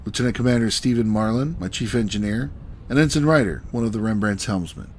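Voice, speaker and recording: An actor playing a genial, assured starship captain in a sci-fi audio drama, speaking with measured courtesy. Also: male voice, medium pitch, animated, lightly acted. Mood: courteous. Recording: clear background ambience noise.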